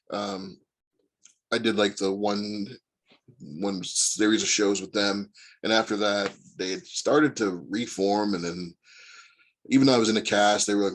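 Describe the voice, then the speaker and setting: A man in his forties from Indiana, a guest actor chatting casually and telling long rambling stories full of fillers. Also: fairly low pitch. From Indiana, USA. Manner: rambling storyteller with many fillers. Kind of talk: casual interview chat.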